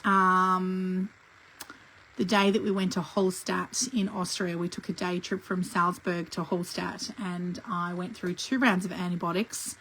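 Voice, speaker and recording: A middle-aged woman with a croaky voice from a lingering cough, teaching a live scrapbooking tutorial in a chatty way and clearing her throat often. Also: lowish voice, little pitch movement, measured pace, a bit bright, a little hiss or hum, rough recording. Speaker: scrapbooking instructor.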